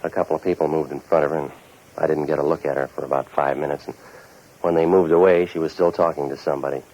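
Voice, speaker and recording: A hard-boiled American private eye in a vintage radio drama. The voice sits low and delivers sardonic wisecracks in a terse deadpan. The old recording is muffled and dull. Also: male voice, quick pace. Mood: wry narration. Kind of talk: 1940s radio noir acting and narration.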